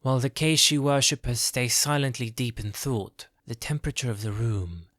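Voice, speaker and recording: A man narrates a scripted fantasy drama in a mid-pitched voice. The sound is close and very dry, like a studio booth. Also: normal speed, fairly bright tone, dry recording. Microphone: close, dry studio mic.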